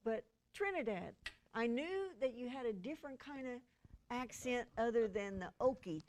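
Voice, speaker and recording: A woman's voice: on the high side, expressive, normal speed, a little mellow, slight background noise. A woman hosting a TV show, interviewing guests in a conversational style. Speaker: TV show host.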